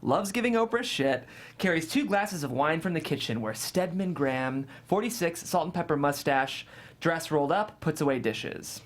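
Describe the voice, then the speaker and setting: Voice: mid-range voice, lively intonation, moderate pace. A narrator reading screenplay stage directions in a matter-of-fact, descriptive way.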